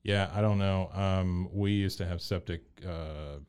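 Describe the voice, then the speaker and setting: A man with a lowish voice co-hosts a podcast in an easy, joking way. He rambles through stories and lets his words drop into a mumble now and then. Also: fairly even intonation, measured pace, darkish tone, smooth. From born in Taiwan, raised in Texas. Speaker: podcast co-host. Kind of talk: casual chat.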